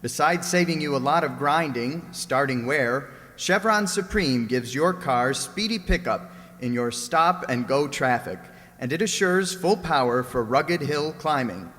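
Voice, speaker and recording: A man's voice: a little high, expressive, unhurried, fairly bright tone. A man announcing a recreated old-time radio show in a scripted style. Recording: echoey hall with noticeable noise.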